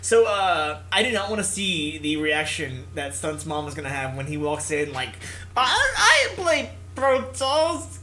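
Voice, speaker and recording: Bright, high-voiced man hosting a game playthrough. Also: steady tone, normal speed, hardly any pauses. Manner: theatrical, jokey, puts on character voices. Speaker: host of a visual novel playthrough. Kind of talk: reading game text aloud with casual commentary.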